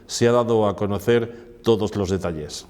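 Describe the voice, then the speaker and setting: A man's voice: on the low side, normal speed, a little mellow. Male Spanish news presenter, reverberant hall sound. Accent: Spain.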